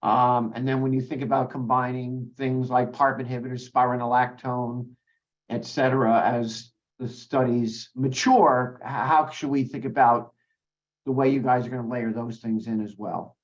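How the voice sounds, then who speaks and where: A man's voice: medium pitch, level tone. Hesitant analyst man on a dull line. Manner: halting, hedged questioning with restarts. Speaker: analyst asking questions. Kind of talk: earnings call Q&A.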